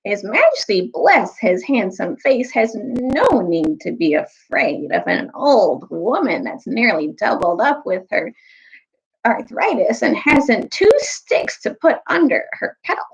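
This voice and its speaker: A woman's voice: medium pitch, very animated, a little slow, creaky, slightly rough, highs cut off, heavily acted. A woman voicing a fawning, spiteful old hag from a storybook, in a thin, whining, wheedling voice. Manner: wheedling, obsequious.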